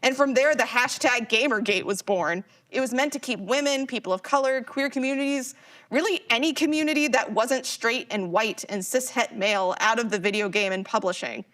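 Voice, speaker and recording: A woman's voice: on the high side, normal speed, a bit bright, natural voice. A woman playing a geeky, chatty modern character in a live audio drama, explaining things in a slangy, casual way. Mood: guarded, upset.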